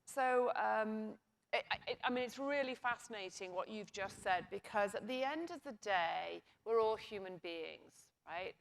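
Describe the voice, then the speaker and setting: A woman's voice: mid-range voice, a little slow, creaky. A woman speaking on a conference panel, making her case emphatically with rhetorical questions. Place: conference stage.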